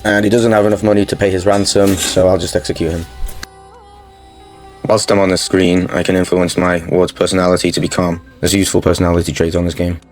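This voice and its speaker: A man talks through a strategy game campaign in a low, level voice. His tone stays steady and matter-of-fact as he explains each choice, with soft music playing under him. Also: quick pace. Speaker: gaming channel host. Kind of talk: strategy game playthrough commentary. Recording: faint music bed under the voice.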